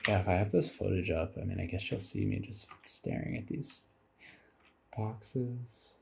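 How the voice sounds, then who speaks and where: A man's voice: fairly low pitch, unhurried, vocal fry, darkish tone, breathy edge. A tired man giving rambling, casual commentary over game footage, musing aloud as he goes and swearing casually.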